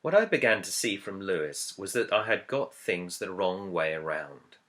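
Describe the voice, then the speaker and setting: A man, an author, reading his own book introduction as scripted narration in a measured, earnest, reflective way. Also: low-pitched, expressive, a bit bright. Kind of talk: scripted audiobook-style narration.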